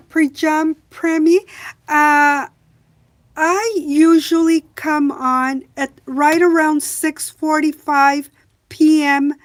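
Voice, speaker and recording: A woman with a calm, gentle voice chats on a livestream, her pitch sitting a little high. She talks very slowly, stopping often to restart a thought or fill the gap with "uh", and reads viewer questions aloud before answering them. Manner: slow, soft-spoken, many hesitations. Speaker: livestream host. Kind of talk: casual live chat reading comments.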